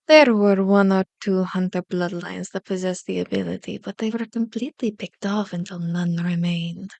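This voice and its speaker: A woman playing a powerful elder vampire in an ASMR roleplay, seductive and taunting, with slow, deliberate, formally worded speech. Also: fairly low pitch, steady tone, vocal fry, a little mellow, dry recording. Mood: amused, threatening. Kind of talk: ASMR roleplay, scripted monologue. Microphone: close microphone, dry recording.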